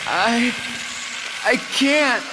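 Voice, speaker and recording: A shaken young space warrior from a video game. She speaks slowly and haltingly in a high, strained, weary voice, with hall reverb and loud music around her. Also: animated, heavy background noise. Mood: alarmed.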